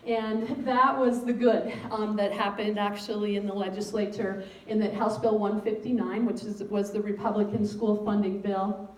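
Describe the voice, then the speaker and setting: A woman's voice: mid-range voice, little pitch movement, moderate pace. Woman giving a talk in an echoey room. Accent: American. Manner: frequent ums, speaks of having a loud voice. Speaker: school superintendent. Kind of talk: public speech to a civic gathering. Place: meeting room. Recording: echoey room, distant mic, faint noise.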